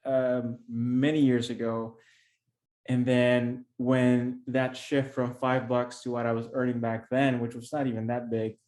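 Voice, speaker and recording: A man's voice: mid-range voice, level tone, unhurried, darkish tone. A middle-aged man from the Philippines with a Filipino accent, a podcast guest telling his story earnestly, with many restarts. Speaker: podcast guest, real estate investor. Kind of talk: conversational interview storytelling. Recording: echoey room.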